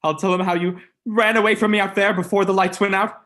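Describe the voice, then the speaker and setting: A student actor playing an earnest, protective, suspicious gentleman in a melodramatic vintage radio mystery. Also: high-pitched, little pitch movement, fast talker. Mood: angry, accusing.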